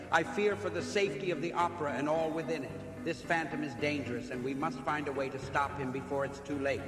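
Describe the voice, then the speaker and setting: A man's voice: fairly high pitch, natural voice. A man voicing an indignant, worried male opera house manager in an audio drama. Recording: echoey hall reverb with music.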